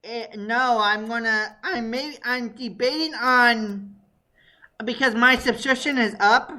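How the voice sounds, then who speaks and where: A man with a high voice hosting a podcast, talking in an excitable, casual way and laughing easily. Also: slow pace. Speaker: podcast host. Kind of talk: casual chat.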